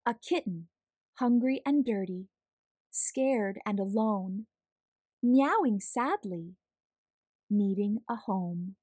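A woman reading a children's picture book aloud as a storyteller, in a deliberate, expressive, measured way. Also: medium pitch, husky, dry recording. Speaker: read-aloud storyteller, host.

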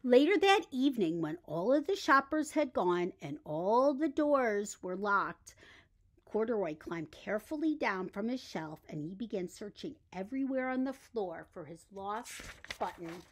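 A woman's voice: mid-range voice, big pitch swings, measured pace. A middle-aged woman, reading a children's bedtime story expressively. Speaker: storybook reader. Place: indoor room lined with bookshelves.

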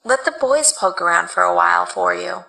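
An actress playing a loyal, practical assistant who speaks earnestly and asks questions. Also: female voice, lowish voice, wildly swooping pitch, normal speed, gravelly, sharp tone, heavily acted, rough recording. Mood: urging. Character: loyal young woman assistant, practical and caring. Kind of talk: radio drama acting.

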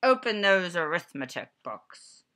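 Woman acting stern, slow-drawling witchy schoolteacher. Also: lowish voice, sing-song, sharp tone, exaggerated voice. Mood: stern, snapping. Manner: unpleasant, commanding, snapping, drawn-out words. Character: stern, mean witch-like female substitute teacher.

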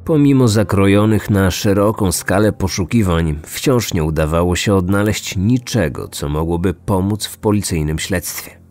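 Low-pitched man narrating true crime. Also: normal speed, a little mellow. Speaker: host of a true-crime podcast. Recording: music bed behind the voice.

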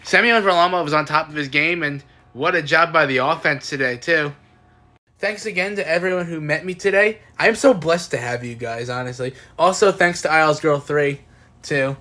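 A young man giving casual, excitable postgame recaps as a sports fan, recorded on a phone at home. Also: fairly high pitch, moderate pace. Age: young adult. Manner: excitable, exclamatory fan cheering. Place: small indoor room at home. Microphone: phone at arm's length.

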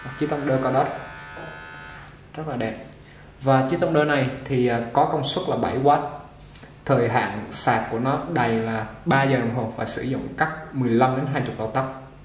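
A man giving a casual unboxing demonstration of a hair clipper, talking relaxed with many fillers while he handles it. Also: mid-range voice, normal speed. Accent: Vietnamese. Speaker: product reviewer for a barber supply shop. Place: indoor shop table with clipper boxes. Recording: echoey room with machine noise. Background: clipper motor buzz and clicks.

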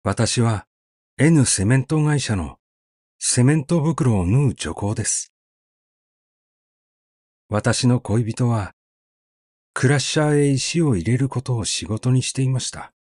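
A man reading aloud the letter of a young woman factory worker in a plain, quiet way. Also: medium pitch, lively intonation, slow, pauses often, dry recording, clean studio sound.

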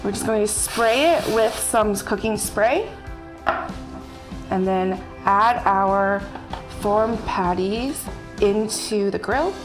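Young woman, kitchen demo host, mid-pitched casual instructional read over background music. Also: slow. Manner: friendly step-by-step instructions while working. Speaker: chef demonstrating a recipe. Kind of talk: casual cooking demonstration. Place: bright home kitchen. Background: background music and sizzling food.

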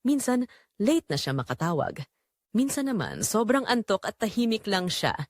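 Lonely young Filipina wife played by a woman, confessional audio drama narration, earnest, expressive melody, dry studio sound. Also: medium pitch, moderate pace, dry recording. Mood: wistful, lonely. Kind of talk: scripted first-person audio drama narration.

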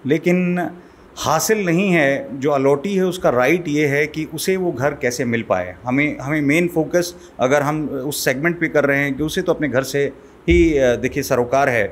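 A middle-aged man as a guest expert, explaining step by step in a studio interview at a desk mic. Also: fairly high pitch. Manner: measured, explaining, code-mixes English terms. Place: small studio set. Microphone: desk mic on boom arm.

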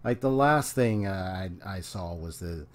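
A man's voice: deep voice, a little slow. A young man casually reacting to a game trailer at home, speaking in a rambling, hesitant way into a desk mic. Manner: rambling, hesitant, many restarts, relaxed. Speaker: video game reaction youtuber. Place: small room at home, indoors. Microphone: desk microphone on a stand.

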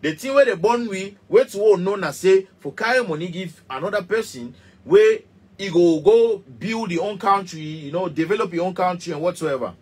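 Fired-up Cameroonian man, husky voice. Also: sits high, animated, normal speed, a little mellow. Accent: Cameroonian Pidgin English. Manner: passionate, emphatic, repetitive, gesturing. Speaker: musician. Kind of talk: heated direct-to-camera rant. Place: small indoor music studio.

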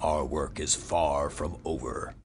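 An actor playing a noble giant robot leader in a video game, with a gravelly, husky voice and a solemn, authoritative, deliberate delivery. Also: male voice, very deep voice, fairly even intonation, slight background noise, heavily acted. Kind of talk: video game character acting.

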